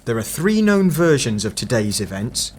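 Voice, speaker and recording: Man acting a terse, grave investigator, mid-pitched. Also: very animated, a little hiss or hum, natural voice. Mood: grave, explaining. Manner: curt, deliberate explaining. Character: terse, grave, mysterious male time investigator. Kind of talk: fan-made audio drama acting.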